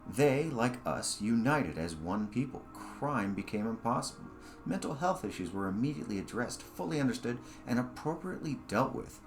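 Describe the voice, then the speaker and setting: A man's voice: mid-range voice, very animated, moderate pace, faint music behind, rough recording. A man reading his own short story aloud as scripted narration.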